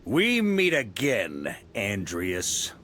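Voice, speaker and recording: A steady veteran knight commander. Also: male voice, fairly low pitch, drawn-out words, slight background noise, exaggerated voice. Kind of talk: video game voice acting. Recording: game audio over music.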